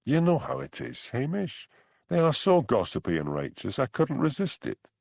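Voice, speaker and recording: A man's voice: mid-range voice, wildly swooping pitch, moderate pace, vocal fry, a little mellow, no room sound, studio quality, pitched above the performer's own voice, full character voice. A man voicing a frank village woman for an audiobook. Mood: sheepish. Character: Angela, gossip-teased village woman, frank friend. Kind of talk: audiobook character dialogue.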